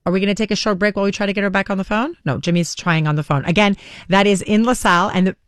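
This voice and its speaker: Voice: medium pitch, fairly even intonation, rapid-fire, a bit bright, no echo. A radio host whose first language is Greek, chatting energetically on live radio from a studio and steering an interview.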